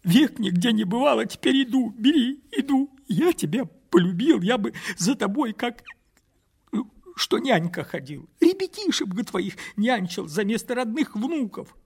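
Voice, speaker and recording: A man voicing a humble, poor old male peasant in his sixties who drops to whispers and rises to high, excited outbursts in folksy rustic speech. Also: a little slow, creaky, slightly rough, exaggerated voice. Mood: pleading. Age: 60s.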